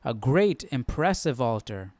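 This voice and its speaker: A man hosting a Bible study, reading the passage aloud and thinking out loud with casual, hesitant asides. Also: mid-range voice, big pitch swings, unhurried, no room sound.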